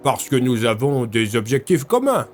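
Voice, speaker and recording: A man's voice: mid-range voice, sing-song, normal speed, a little mellow, soft background music, close to an everyday voice. A man playing a refined, scheming military leader in an audio drama, with urbane, courteous and smug manners. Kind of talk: French audio fiction acting.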